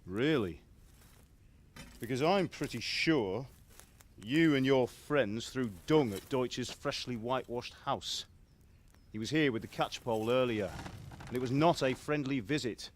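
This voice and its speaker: An actor playing a middle-aged blacksmith father in a video game, gruff and authoritative. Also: medium pitch, measured pace, close to an everyday voice. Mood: stern. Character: stern middle-aged blacksmith father, proud of his craft. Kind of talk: video game cutscene acting.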